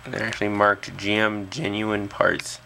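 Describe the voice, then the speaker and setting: A man's voice: fairly low pitch, flat delivery, a little slow, vocal fry, pushed and bright, a little hiss or hum. A man talking casually and off the cuff as a hobbyist presenter, hesitantly thinking aloud at an indoor workbench on a camera's built-in mic. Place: small indoor workshop at a bench.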